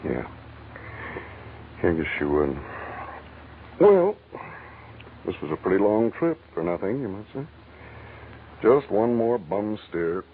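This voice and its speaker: An actor in a 1940s radio drama playing a low-key, world-weary but kindly private investigator, laconic and casual. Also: male voice, big pitch swings, slow, long pauses, heavily acted. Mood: matter-of-fact, resigned. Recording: muffled, noisy old radio broadcast recording.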